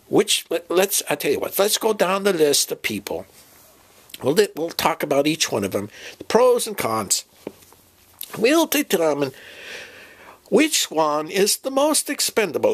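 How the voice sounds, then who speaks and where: Man putting on a doddering, husky, fussy professor. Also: a little high, heavily acted. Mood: muddled, deliberating. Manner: halting, muddled, fussy, repeats himself. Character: doddering, fussy, forgetful male professor planning an expedition. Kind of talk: improvised comic dialogue sketch.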